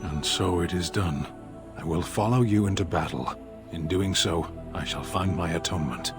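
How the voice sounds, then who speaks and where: An actor playing a solemn, formal warrior who seeks atonement, speaking tersely in a video game. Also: male voice, low voice, moderate pace, over music. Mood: grave. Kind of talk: video game cutscene dialogue.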